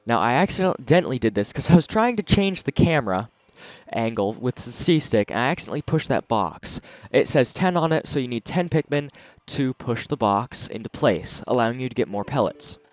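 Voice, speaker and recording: A man hosting a let's play, chatting casually and explaining as he plays, his voice a little raspy and scratchy from a sore throat. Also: medium pitch, lively intonation, brisk, no room sound. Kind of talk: casual gameplay commentary.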